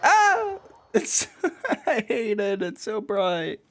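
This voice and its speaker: Animated man reacting on a game stream. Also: high-pitched, extremely slow. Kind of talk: casual reactions to gameplay.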